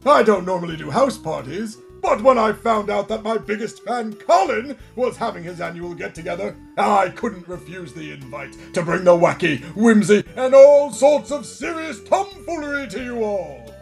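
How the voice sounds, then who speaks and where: Creaky, theatrical washed-up kids' entertainer. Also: male voice, high voice, expressive, soft background music. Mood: exuberant showman. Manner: dramatic, halting pleading and grand showman delivery. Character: washed-up older children's TV entertainer, contrite, theatrical. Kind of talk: radio play acting.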